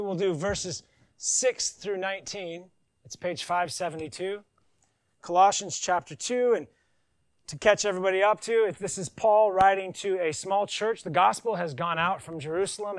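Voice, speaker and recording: Man, pastor, sermon, high voice, emphatic scripture reading, casual asides, dry close sound. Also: no room sound.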